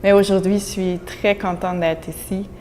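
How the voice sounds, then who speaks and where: A woman's voice: mid-range voice, fairly even intonation, moderate pace, warm tone. Woman from Belgium, Dutch native speaker, earnest reflective tone, fillers, echoey room, faint background noise. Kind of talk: on-camera interview.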